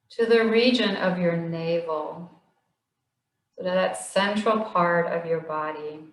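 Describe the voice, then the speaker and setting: A woman slowly leading a guided body scan meditation in a gentle, soothing voice with long pauses. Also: lowish voice, echoey room, poor audio. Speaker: meditation instructor.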